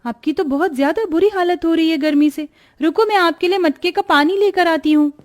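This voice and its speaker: A woman's voice: very high-pitched, fairly quick. A woman voicing a caring, dutiful young village daughter in a cartoon story, with an earnest, solicitous manner. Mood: worried.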